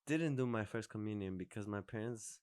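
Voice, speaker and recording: A man's voice: fairly low pitch, brisk, a little mellow, clean tone, no echo. A man in his twenties hosting a podcast, chatting casually, rambling and restarting his sentences. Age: 20s. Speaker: podcast host. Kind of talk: casual chat.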